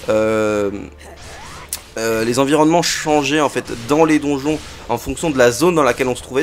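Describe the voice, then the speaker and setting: A man with a cold casually commenting on a game he is playing, chatty and full of fillers and interjections. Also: medium pitch. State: has a cold. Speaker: let's play host.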